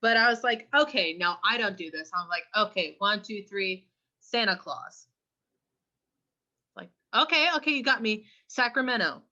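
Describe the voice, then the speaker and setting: A young woman from California co-hosting a casual podcast, chatty and often clearing her throat. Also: mid-range voice, expressive, moderate pace, sharp tone, dull audio. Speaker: podcast co-host. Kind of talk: casual chat.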